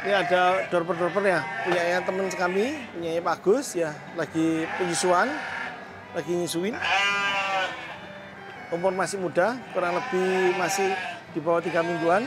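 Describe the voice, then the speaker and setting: Man, middle-aged, high-pitched voice, relaxed farm tour talk, lapel mic outdoors, clear background music. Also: moderate pace. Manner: relaxed, matter-of-fact, chatty. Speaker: goat farm owner giving a tour. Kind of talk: casual explanatory tour talk. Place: outdoor open-sided livestock barns.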